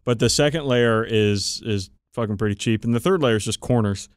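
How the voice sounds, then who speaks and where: A man's voice: fairly low pitch, fairly quick, clear, resonant tone, no echo, clean studio sound. A man co-hosting a podcast in casual hobby chat, informal and enthusiastic, swearing freely. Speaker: podcast co-host.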